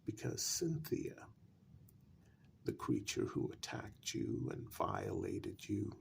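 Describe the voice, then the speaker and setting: A man playing a calm, patient castle confidant in a soft-spoken ASMR fantasy role-play, measured and breathy with long pauses. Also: very deep voice, slight background noise, exaggerated voice. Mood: grave, explanatory. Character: calm, apologetic male confidant explaining a vampire curse in an old castle.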